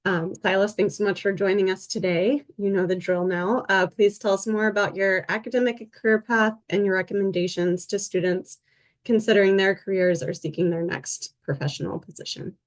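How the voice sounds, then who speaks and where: A woman's voice: mid-range voice, steady tone, brisk. A woman moderating a panel, reading out speaker introductions in a friendly way with frequent "um" fillers.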